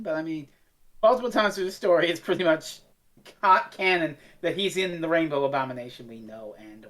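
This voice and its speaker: A woman with a fairly low voice co-hosts a casual review show. She talks bluntly and swears freely, in a loose conversational style. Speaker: podcast co-host.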